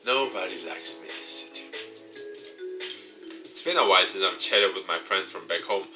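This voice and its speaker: Low-voiced man reading aloud over music. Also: animated, moderate pace. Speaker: gaming YouTuber. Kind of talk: let's play, reading visual novel text aloud with asides. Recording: music playing behind the voice.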